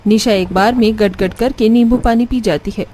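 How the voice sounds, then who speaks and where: A woman's voice: a little high, moderate pace, mellow, slight background noise. A woman reading scripted narration for a Hindi cartoon family story.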